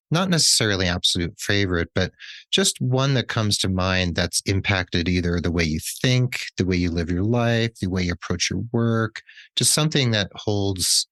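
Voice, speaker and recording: Deep-voiced male podcast host. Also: fairly bright tone, no room sound. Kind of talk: interview questions.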